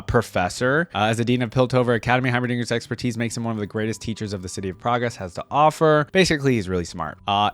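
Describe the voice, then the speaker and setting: A male reaction creator speaks at a brisk clip in a dry, close recording. He reads written material aloud in a casual way and drops in conversational remarks of his own. His pitch sits in an average range for a man. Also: no echo. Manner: conversational, interjects asides while reading. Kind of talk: reading a wiki aloud with casual commentary.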